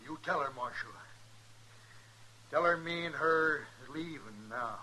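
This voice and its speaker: Sharp-edged menacing Western gunslinger. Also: male voice, fairly high pitch, unhurried. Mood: threatening. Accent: American. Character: menacing braggart drifter gunman, male, Old West saddle bum. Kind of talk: 1950s radio western acting. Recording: noisy old radio recording.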